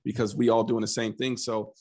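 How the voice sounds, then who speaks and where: A man's voice: mid-range voice, a little fast. Earnest man telling his story. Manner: earnest, animated storyteller who voices his past self in quotes; frequent restarts and repeated words. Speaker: podcast guest. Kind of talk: casual conversational interview.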